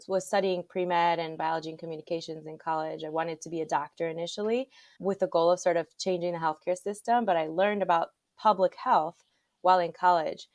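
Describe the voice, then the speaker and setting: A woman in her thirties from Honduras, a guest speaking in a grateful, measured way. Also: lowish voice, darkish tone, no room sound. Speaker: guest, nonprofit founder. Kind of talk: casual interview.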